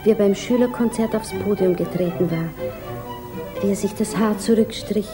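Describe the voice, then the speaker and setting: An actress playing a wistful, guarded young widow and aunt in a 1950s radio drama, with a theatrical, inward delivery. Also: female voice, medium pitch, normal speed, over music, lightly acted. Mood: wistful, musing.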